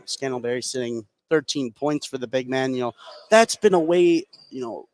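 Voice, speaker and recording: A man's voice: medium pitch, normal speed. An American man giving informal, explanatory color commentary during a live basketball broadcast. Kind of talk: live sports analysis, conversational. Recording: light gym background noise. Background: gymnasium ambience.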